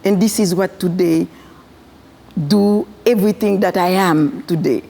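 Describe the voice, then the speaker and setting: A woman's voice: lowish voice, measured pace, vocal fry, dark tone. A woman from Haiti, a physician and farmer, answering interview questions earnestly and repeating phrases in lists. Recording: long hall reverb.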